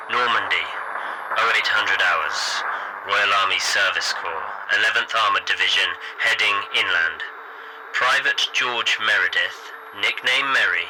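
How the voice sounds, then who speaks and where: An actor playing a brisk wartime military radio reporter in an audio play, giving formal, clipped reports. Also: male voice, lowish voice, background noise, natural voice.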